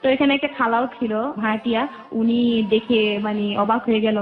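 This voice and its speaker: A Bangladeshi woman recounts her own life as a guest, in a voice on the high side. She narrates in detail and quotes remembered conversations. The recording is thin and muffled, like a telephone. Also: little pitch movement, moderate pace. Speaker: interview guest. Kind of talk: personal life storytelling. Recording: muffled, narrow phone-like band.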